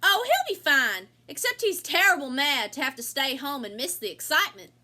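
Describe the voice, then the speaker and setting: A woman's voice: sits high, very animated, normal speed, pushed and bright, exaggerated voice. A woman with an American accent playing a chatty, folksy rural farm wife in an amateur play. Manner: folksy, exclamatory country talk. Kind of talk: amateur stage-play acting.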